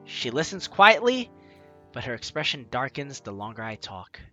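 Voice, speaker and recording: Very bright, animated man reading game dialogue. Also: medium pitch, moderate pace, soft background music. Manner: expressive, reacts with enthusiasm. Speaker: gaming video host. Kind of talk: animated let's play, reading game text aloud dramatically.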